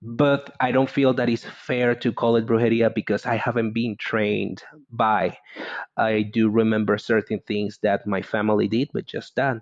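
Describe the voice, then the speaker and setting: A man's voice: lowish voice, little pitch movement, moderate pace, dry recording, highs cut off. A man from Venezuela with a Spanish accent, a guest in casual conversation, often hesitating and searching for words. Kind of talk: casual chat.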